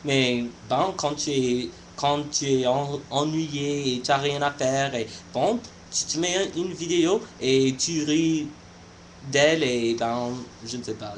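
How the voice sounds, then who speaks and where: Halting young man, slightly high voice. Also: fairly bright tone, a little hiss or hum. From United States. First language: not French. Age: young adult. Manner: halting, searching for words, shy. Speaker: vlogger. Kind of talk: casual vlog monologue.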